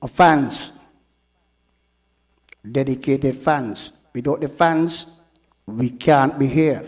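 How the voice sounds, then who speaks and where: Slow-spoken man accepting an award. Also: medium pitch. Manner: formal greeting, thanks fans. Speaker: award recipient. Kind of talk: acceptance speech.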